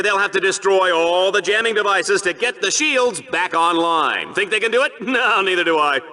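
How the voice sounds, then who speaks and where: Excitable game-show sportscaster. Forceful, very animated, jokey and hammy, over-the-top commentary. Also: male voice, high voice. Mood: flippant. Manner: hammy, jokey showman delivery. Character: excitable, goofy, smug male sports announcer of a deadly game show. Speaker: play-by-play announcer.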